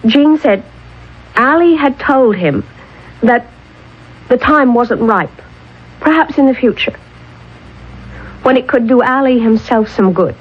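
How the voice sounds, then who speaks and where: A woman's voice: fairly high pitch, lively intonation, slow pace, pauses often, slightly rough, exaggerated voice. An actress playing an earnest, headstrong woman scientist in a radio drama, urgent and emphatic. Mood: insistent reasoning. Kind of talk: 1970s radio drama acting. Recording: dull old radio broadcast recording.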